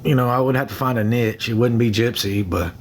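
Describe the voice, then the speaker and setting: A man's voice: mid-range voice, a little fast, slight background noise. A young man chatting on a phone livestream, rambling, repeating himself and thanking viewers by name. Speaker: livestream host. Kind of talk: casual livestream chat with viewers.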